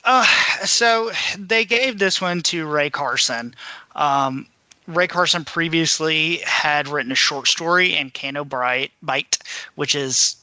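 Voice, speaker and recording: Male co-host, higher-pitched and very bright, sharp delivery, close dry sound, conversational. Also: takes it slowly, dry recording, rough recording. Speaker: podcast co-host. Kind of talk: casual discussion of books.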